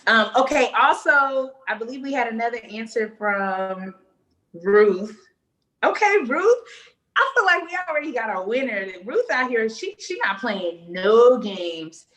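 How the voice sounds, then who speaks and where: A young woman co-hosting a casual live stream, playful and animated, laughing often as she reads viewer comments. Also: on the high side, measured pace, poor audio. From United States. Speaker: co-host.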